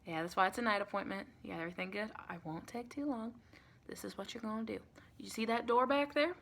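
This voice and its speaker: Creaky-voiced American woman as a sweet vampire. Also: mid-range voice, fast, a bit bright. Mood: pleased, encouraging. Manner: chatty, rambling, reassuring, calls listener sweetie. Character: sweet, reassuring female vampire welcoming a new recruit. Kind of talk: ASMR roleplay.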